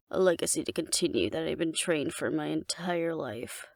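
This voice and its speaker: Woman playing a flirty, gravelly alpha werewolf woman. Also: low-pitched, no echo, clean studio sound, heavily acted. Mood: wry, wistful. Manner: teasing, coaxing, playfully domineering. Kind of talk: intimate scripted audio roleplay addressed to the listener.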